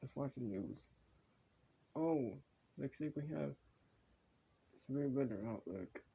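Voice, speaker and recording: A man speaking casually as an amateur video creator, improvising in a halting, rambling, repetitive way on a dull home recording. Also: medium pitch, lively intonation, gravelly, husky. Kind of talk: casual improvised commentary and sign-offs.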